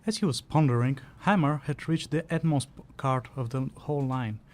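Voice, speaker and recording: A man's voice: mid-range voice, normal speed, natural voice. A man playing a nitpicking sidekick who helps narrate a mock fantasy tale in a scripted audio play. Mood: narrating.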